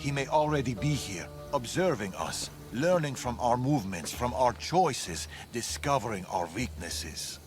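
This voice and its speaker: An actor playing a grave, wary older warrior father in a video game, speaking in a measured, solemn, ominous way over dramatic music. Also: medium pitch, expressive, natural voice. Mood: grave warning.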